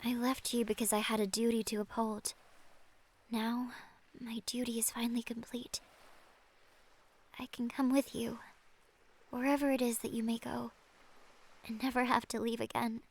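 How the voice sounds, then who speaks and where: A woman playing a solemn, devoted sea sorceress in an audio drama, with a tender manner that often softens toward a whisper. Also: a little high, little pitch movement, normal speed, long pauses. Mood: tender resolve. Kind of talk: cinematic audio drama acting.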